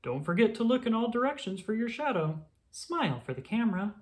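A fussy groundhog relative from a picture book, voiced by a reader. The voice is raised high, coaxing and encouraging as it hands out advice. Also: very animated, a little fast, natural voice.

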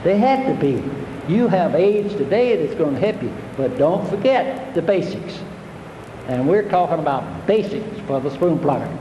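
A man's voice: on the high side, sing-song, moderate pace, warm tone, echoey room, in a large hall, highs cut off, slight background noise. An older man, giving a plainspoken, homespun fishing talk to an audience, repeating his points. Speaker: fishing instructor. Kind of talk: folksy seminar talk.

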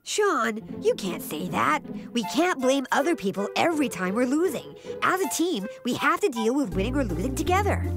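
A calm, encouraging young team captain in a cartoon. Her voice is a little high and lively, with soft music behind. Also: moderate pace, hardly any pauses. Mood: earnest. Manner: reassuring, earnest.

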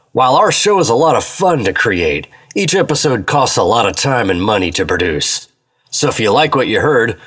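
A man's voice: fairly low pitch, fairly bright tone, husky. A man speaking as a show's host and actor, reading a scripted address to listeners.

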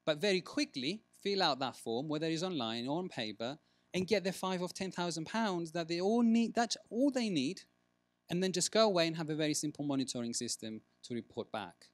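A man presenting at a conference, stressing key words deliberately and posing rhetorical questions. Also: a little high, animated, no room sound. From Greece. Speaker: researcher giving a conference talk. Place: large conference room, at a lectern.

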